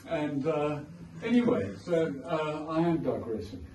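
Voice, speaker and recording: A man's voice: fairly high pitch, lively intonation, measured pace. Wry South African man in an echoey gallery. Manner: anecdotal, digressive, wry. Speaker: author giving a launch talk. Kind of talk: informal speech to a crowd. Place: art gallery, indoors. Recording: echoey gallery, distant, noisy.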